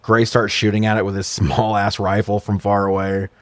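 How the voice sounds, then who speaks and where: A man's voice: on the low side, completely flat delivery, normal speed, no room sound. An American man co-hosting a casual comedic movie recap, sarcastic and freely swearing. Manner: profane, sarcastic. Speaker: podcast co-host.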